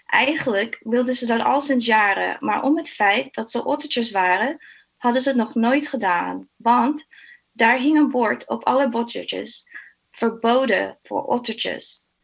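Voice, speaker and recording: Middle aged dutch-native woman reading poetry, lots of vocal fry, bit lo-fi. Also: on the high side, a little slow. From Netherlands. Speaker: hospital research director reading a poem. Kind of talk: personal introduction and poetry reading.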